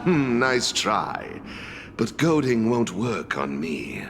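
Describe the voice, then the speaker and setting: A man voicing a smooth, wary male fence and broker in a game, speaking in a suave, dryly guarded manner. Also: fairly low pitch, sing-song, slow, full character voice. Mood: musing. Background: game music.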